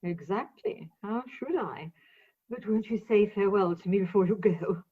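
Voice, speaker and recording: A woman's voice: mid-range voice, normal speed, husky, natural voice. Tinny-sounding melodramatic tragic woman, acted out by a woman. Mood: mournful, fawning. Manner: theatrical, grandiloquent declamation. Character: melodramatic jealous tragic woman, grandly wronged and suspicious. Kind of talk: comic stage melodrama in a radio play. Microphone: video call. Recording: tinny, band-limited video-call recording.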